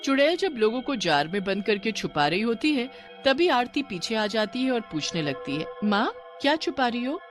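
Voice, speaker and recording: A woman's voice: a little high, very animated, normal speed, faint music behind. A woman narrator reading a scripted horror tale for a cartoon.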